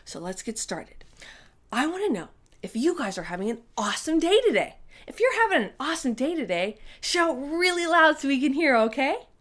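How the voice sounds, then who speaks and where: A woman's voice: high voice, very animated, moderate pace, fairly bright tone. A woman hosting a children's story time, reading a storybook and addressing young listeners directly with exclamations.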